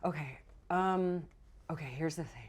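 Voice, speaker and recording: A woman game master narrating a tabletop game in an informal, halting, conversational way. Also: low-pitched, breathy edge.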